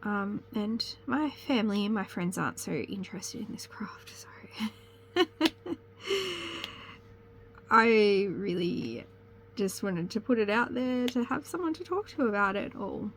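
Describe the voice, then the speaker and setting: A woman's voice: medium pitch, lively intonation, measured pace, long pauses, slight background noise. A young woman with a slight cold chats casually to camera as host of a crafting channel, rambling and correcting herself as she goes. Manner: rambling, chatty, self-correcting. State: sniffly with a cold.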